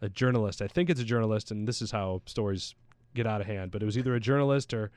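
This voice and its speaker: A man's voice: lowish voice, fast talker, a little mellow, smooth, no room sound. A man chatting casually about sports as a pundit, in a slangy, anecdotal way. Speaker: soccer pundit on a talk show.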